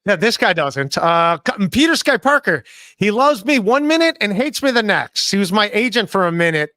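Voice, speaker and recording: A man's voice: sits high, sing-song, normal speed, pushed and bright, no room sound. A man in his forties hosting a casual podcast from home on a studio mic, reading chat messages and joking about himself. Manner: self-deprecating, reads chat messages aloud. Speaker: podcast host. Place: home room, indoors. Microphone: studio mic with headphones, video call.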